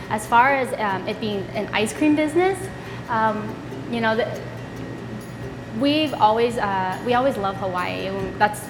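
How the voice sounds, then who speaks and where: A woman's voice: medium pitch, long reverb tail, poor audio. Woman from Vietnam, middle-aged, casual interview talk, lots of ums and restarts. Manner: relaxed, with many ums and restarts. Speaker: ice cream shop co-founder.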